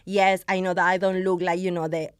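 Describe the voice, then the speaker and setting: A woman's voice: lowish voice, level tone, fairly quick, smooth, no echo. Passionate woman guest, dry sound. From Argentina. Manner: passionate, switches into Spanish. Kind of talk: podcast conversation.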